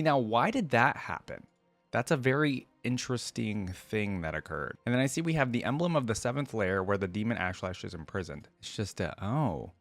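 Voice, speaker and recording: A man hosting a reaction video in a casual, conversational way, thinking aloud as he comments. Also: lowish voice. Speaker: YouTube reaction host.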